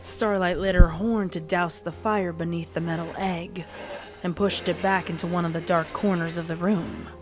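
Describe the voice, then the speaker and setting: A woman narrates a dramatic fan fiction story from a script. Her voice sits slightly low for a woman. Soft music runs underneath the reading. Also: steady tone, moderate pace.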